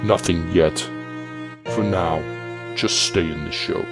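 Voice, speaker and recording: A performer playing a menacing, manipulative older villain uncle in an audio drama, speaking with deliberate, cold control. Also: low-pitched, fairly even intonation, vocal fry, over loud music, heavily acted. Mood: cold menace.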